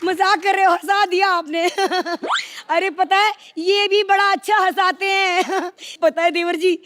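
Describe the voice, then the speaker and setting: A woman playing a loud, dramatic, scolding village wife and mother in live TV sketch comedy. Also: very high voice, fairly even intonation, measured pace. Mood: teasing. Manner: theatrical, scolding, exclaiming. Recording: noisy stage with studio audience.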